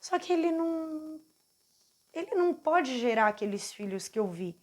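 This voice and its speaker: A Brazilian woman in her forties giving an earnest, heartfelt personal faith testimony, speaking haltingly with restarts. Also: high voice, sing-song, darkish tone. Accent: Brazilian Portuguese. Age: 40s. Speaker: channel host giving a testimony.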